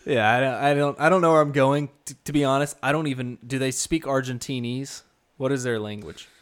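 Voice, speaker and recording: A man's voice: medium pitch, fairly even intonation, fairly quick, clean tone. A young man co-hosting a podcast, reading listener emails aloud with playful, dry asides. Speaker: podcast co-host.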